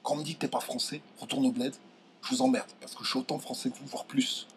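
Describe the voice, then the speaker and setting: A young man from France ranting straight to his viewers, indignant and heated, stumbling over his words and pushing his voice up high when he gets angry. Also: fairly even intonation, fast talker, vocal fry, a little hiss or hum. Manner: indignant, voice jumps high when outraged, many restarts. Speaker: video commentator. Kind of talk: heated direct-to-camera rant.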